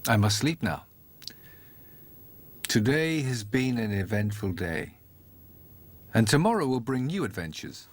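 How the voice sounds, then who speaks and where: A man's voice: mid-range voice, sing-song, unhurried, pauses often. A man telling a fairy tale as a scripted, theatrical storybook narration.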